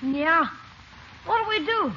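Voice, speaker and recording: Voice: very high voice, expressive, slow, slight background noise, heavily acted. A performer playing an eager, impatient boy nephew in a 1950s radio comedy. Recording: muffled old radio recording.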